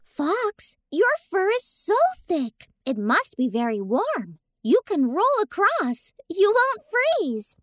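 A woman voicing a small, eager, excitable bunny girl with a squeaky childlike voice in a children's bedtime story. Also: slow, no room sound, full character voice. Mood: fretful.

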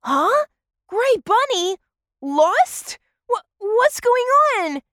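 A woman voicing an excitable, wide-eyed little boy in a children's audio story. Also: extremely high pitch, extremely slow, no room sound, studio quality, heavily acted. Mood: amazed, confused.

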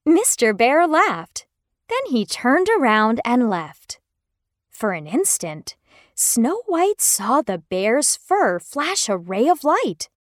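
Animated, unhurried woman telling a children's story. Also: on the high side, no room sound, clean studio sound. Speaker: storyteller, narrator. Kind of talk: expressive scripted children's story narration.

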